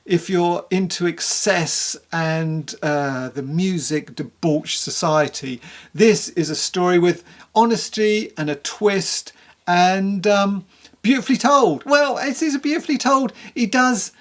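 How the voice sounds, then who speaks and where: A person giving a casual spoken book review to camera in a candid, rambling, conversational way, with a creaky voice. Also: mid-range voice, takes it slowly, a bit bright.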